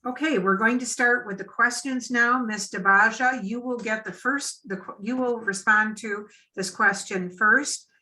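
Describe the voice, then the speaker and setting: A woman's voice: fairly high pitch, fairly even intonation, measured pace, few pauses, sharp tone, low-quality recording. An American woman speaking formally as the moderator of a public forum, reading aloud and giving instructions.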